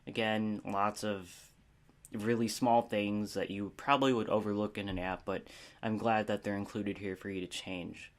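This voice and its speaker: Casual male video reviewer, lowish voice, informal and rambling, self-deprecating, unscripted delivery. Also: fairly even intonation, normal speed. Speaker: app review video host.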